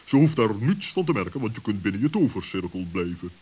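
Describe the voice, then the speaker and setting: A man playing a cautious, wise owl with a deliberate, pompous way of speaking in a children's radio play. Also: lowish voice, sing-song, darkish tone, slightly rough. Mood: advising.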